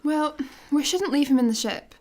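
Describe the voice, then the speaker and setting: A chirpy young space traveller in a radio comedy. Her voice is high, keen and coaxing. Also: lively intonation, normal speed, a little mellow. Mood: breezy. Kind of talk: radio sitcom acting.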